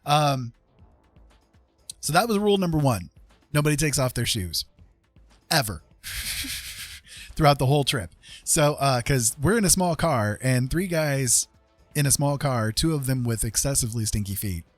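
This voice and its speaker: An American man casually telling a rambling personal story as a livestream host, animated and repeating phrases for emphasis. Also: medium pitch, unhurried, long pauses, no room sound, faint music behind.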